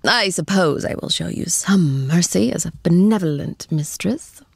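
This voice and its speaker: A woman playing an affectionate, teasing girlfriend in an intimate ASMR roleplay, cooing tenderly and often slipping into soft, breathy whispers. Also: fairly low pitch, animated, no room sound, clean studio sound, heavily acted. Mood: playful, adoring. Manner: cooing, playful, slow and tender. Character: affectionate, teasing young girlfriend comforting her shy boyfriend.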